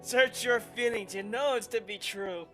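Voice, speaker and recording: A woman's voice: fairly high pitch, unhurried, over loud music. A woman giving casual live commentary on a video game as a streamer, with wry, teasing asides.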